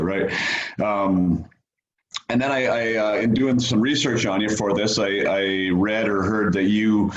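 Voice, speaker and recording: A male podcast host interviewing a guest in a casual, halting way, with many fillers and restarts. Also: fairly low pitch, roomy sound, dull audio.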